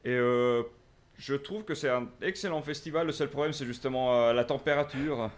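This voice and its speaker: Measured guest in his twenties, Swiss French accent. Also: mid-range voice. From Finland. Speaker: guest film critic. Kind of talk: casual chat.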